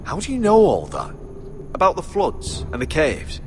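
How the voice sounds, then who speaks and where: An actor playing a bold, loyal exiled young fighter in a video game drama, speaking in a direct, plain way. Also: male voice, a little high, huge pitch swings, moderate pace, noisy background. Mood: earnest, firm.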